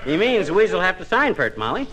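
An actor playing a boastful, excitable, slangy husband full of schemes in 1940s radio comedy. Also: male voice, sits high, a little fast. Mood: casual, grumbling. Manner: blustery, slangy. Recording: faintly noisy old radio recording.

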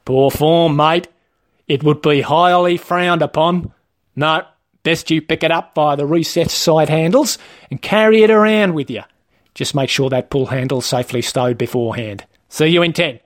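A man's voice: on the high side, normal speed, close to an everyday voice. A man voicing an officious, matey Australian male shop trainer for a comic story, with a blokey, rule-bound manner. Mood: firm, officious. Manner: blokey, officious, laying down rules.

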